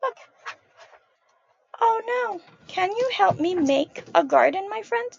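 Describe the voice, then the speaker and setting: Slow animated woman reading to kids. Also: very high voice, vocal fry, dull audio. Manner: slow, animated, sing-song, addressing children as friends. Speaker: early childhood storyteller. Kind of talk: picture book read-aloud for children.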